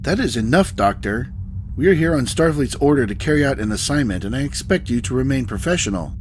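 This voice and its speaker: An actor plays a male starship captain in a science fiction radio play, with faint ambience behind him. His intonation moves widely. He is stern and diplomatic, speaking formally and with clear authority. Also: medium pitch, expressive, fairly quick, a little hiss or hum, close to an everyday voice. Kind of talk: sci-fi audio drama acting.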